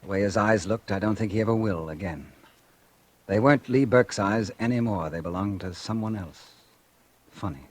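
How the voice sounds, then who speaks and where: Actor as a bitter revenge-driven narrator, low husky voice, old radio feel with faint music. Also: male voice, moderate pace, close to an everyday voice. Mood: brooding. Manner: brooding first-person narration, low and confiding. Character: bitter vengeful man narrating his story. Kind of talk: 1940s radio acting.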